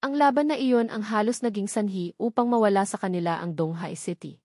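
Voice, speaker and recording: A woman's voice: medium pitch, a little fast, a little mellow, no room sound, clean studio sound. A woman reading a novel aloud as a scripted audiobook narrator, keeping a formal reading voice.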